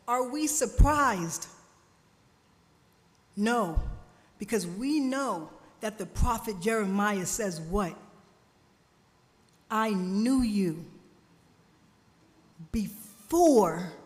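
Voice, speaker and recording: Slow, emphatic woman preaching in a hall. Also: on the high side. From family from Jamaica. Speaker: guest speaker at a church service. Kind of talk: preaching a sermon. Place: church sanctuary. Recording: church hall reverb.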